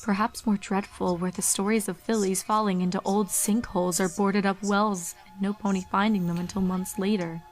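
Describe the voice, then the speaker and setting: A woman's voice: mid-range voice, flat delivery, moderate pace, few pauses, faint music behind. A woman reading a horror fan fiction story aloud as its narrator.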